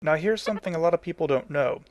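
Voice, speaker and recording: A man's voice: a little high, animated, fast talker, noisy background. A man giving casual, instructional commentary as the host of a video game tutorial.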